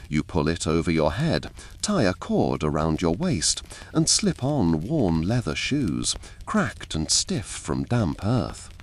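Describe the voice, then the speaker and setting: A man reading a scripted second-person ASMR storytime narration in a measured, dramatic, deliberate way. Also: low voice, huge pitch swings.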